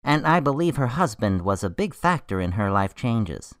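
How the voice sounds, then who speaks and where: A man's voice: medium pitch, sing-song, normal speed, fairly bright tone, no room sound, clean studio sound. An actor playing an earnest, anxious male medical examiner in a radio drama, explaining things expressively. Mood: worried, explaining.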